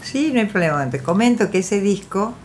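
A woman musician from Argentina with an Argentine accent, chatting in a relaxed, hesitant way during a radio interview. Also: medium pitch, normal speed, a little hiss or hum. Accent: Argentine Rioplatense voseo. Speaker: musician and songwriter guest. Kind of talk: casual interview chat.

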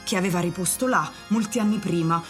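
A woman narrator reading scripted story passages for a radio drama, measured and serious. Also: fairly low pitch, soft background music, lightly acted.

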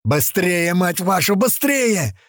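A man voicing frantic male fighters in an audiobook battle scene, yelling with strain. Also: high-pitched, animated, takes it slowly, no echo, voice pushed up, heavily acted.

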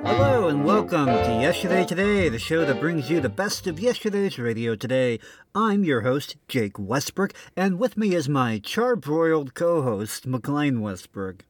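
Male radio host, slightly high voice, very animated, comic stammering banter. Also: measured pace, dry recording, soft background music. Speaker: host of an old-time radio show. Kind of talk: scripted comic banter between hosts.

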